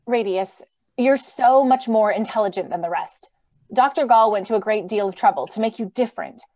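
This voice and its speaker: A woman's voice: mid-range voice, normal speed, dark tone, close to an everyday voice. A woman in her thirties playing an earnest, anxious idealistic wife in old-time radio drama style. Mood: earnest pleading.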